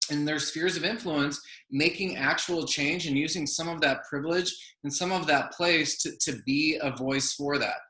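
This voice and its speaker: A man moderating a panel discussion, speaking in a thoughtful, measured way and often adding "I think" and "you know.". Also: on the high side, sharp tone, roomy sound, rough recording. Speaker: session moderator.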